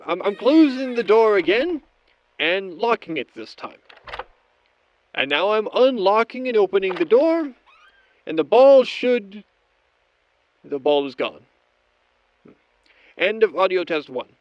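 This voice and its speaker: Man acting scientist doctor, audio drama, fairly high male voice, measured, clinical, step-by-step log, muffled noisy recording. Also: big pitch swings. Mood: intrigued, surprised. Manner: measured, clinical, narrating each step aloud. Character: methodical male scientist doctor logging experiments on tape. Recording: dull lo-fi audio with background noise.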